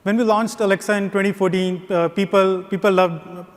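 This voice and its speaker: Flat-voiced man presenting in a hall. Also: sits high, normal speed. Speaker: conference presenter. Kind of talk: conference talk. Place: large conference hall. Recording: long reverb of a big hall.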